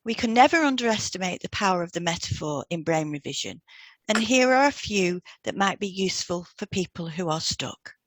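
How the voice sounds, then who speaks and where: A woman co-hosting a scripted podcast, reading out advice in a gentle, measured way as a therapist would. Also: fairly low pitch, lively intonation, no room sound. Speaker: podcast co-host and hypnotherapist. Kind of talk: scripted podcast advice with example client questions read out.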